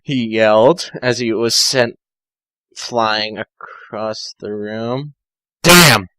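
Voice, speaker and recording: A man reads a story aloud at an unhurried pace, cutting in with sarcastic asides. His voice is bright and forward, recorded close and dry. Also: fairly low pitch, steady tone, clear, resonant tone, dry recording. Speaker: amateur fan fiction reader.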